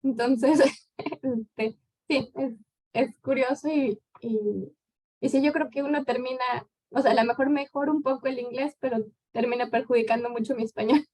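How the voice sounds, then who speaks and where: A woman in her thirties from Mexico with a Mexican accent, answering questions as a guest lecturer in a relaxed, conversational way. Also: high-pitched, moderate pace, rough recording. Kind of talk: conference Q&A answer.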